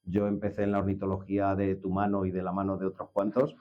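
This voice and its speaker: A man's voice: low voice, monotone, quick pace. A man with an accent from Spain, hosting a conversational interview in an informal, familiar way. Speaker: interview host. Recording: slightly dull, lo-fi sound.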